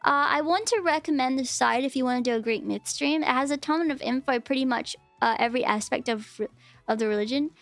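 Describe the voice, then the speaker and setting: A woman's voice: high voice, fairly quick, fairly bright tone, no echo, faint music behind. A young woman chatting casually on a live stream, rambling with lots of fillers.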